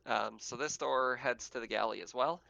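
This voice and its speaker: A man narrating a tabletop roleplaying game as game master, describing scenes in a casual, halting way. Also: mid-range voice, vocal fry, pushed and bright, no echo.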